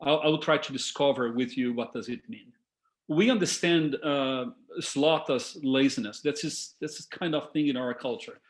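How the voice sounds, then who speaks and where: A man from Brazil whose first language is Portuguese, speaking English with a Brazilian Portuguese accent, giving a lively, engaging talk to a group on a video call. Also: medium pitch, moderate pace. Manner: lively and engaging, addresses listeners as folks. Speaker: guest speaker.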